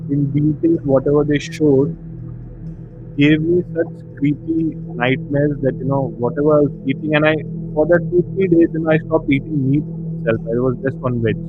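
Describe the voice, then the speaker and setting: A man's voice: a little high, fairly even intonation, moderate pace. A man hosting a casual livestream movie discussion, speaking conversationally and repeating phrases for emphasis. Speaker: host of a horror discussion livestream. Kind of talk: casual movie review chat. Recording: muffled audio with clear background music. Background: music bed under the voice.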